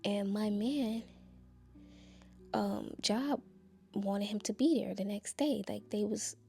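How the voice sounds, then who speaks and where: A woman's voice: mid-range voice, slow, vocal fry, darkish tone. A young woman from Nashville, chattily telling viewers a personal story, confiding and calling out to them often. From Nashville, Tennessee. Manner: chatty, confiding, keeps saying y'all. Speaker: vlogger.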